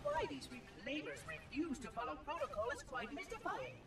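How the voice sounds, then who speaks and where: A man's voice: extremely high pitch, huge pitch swings, moderate pace, noisy background, full character voice. A man playing a prim, aggrieved ancient machine caretaker in a game cutscene, formal and theatrical, with a synthetic echo. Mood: indignant. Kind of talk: scripted game cutscene monologue. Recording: echoing, distant, under loud music.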